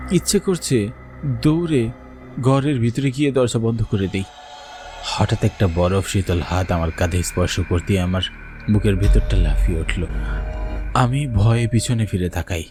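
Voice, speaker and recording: Man as fearful young student, fairly low pitch, suspenseful horror cartoon narration, dramatic, clear background music. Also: close to an everyday voice. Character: frightened young male university student telling his ghost story.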